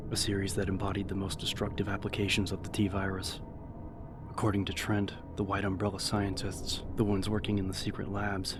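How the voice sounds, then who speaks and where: A man reading a novel as an audiobook narrator, in a measured, serious, dramatic style. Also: sits low. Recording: narration over background music.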